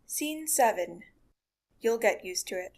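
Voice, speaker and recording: High-voiced woman reading stage directions. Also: expressive, slow pace. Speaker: narrator. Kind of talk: scripted narration of stage directions. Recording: faint background noise.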